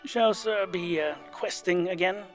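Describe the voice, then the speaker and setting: Man voicing a male butler, high-set voice, formal and deferential, hesitant, long-suffering, comic fantasy audio drama. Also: measured pace, soft background music. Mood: dryly deferential.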